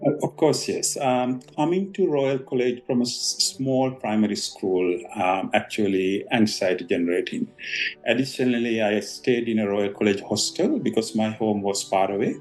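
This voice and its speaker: Sri Lankan doctor in his fifties, low-pitched, halting interview answers. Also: darkish tone, faint music behind, rough recording. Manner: hesitant, many ums and uhs. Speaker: guest doctor.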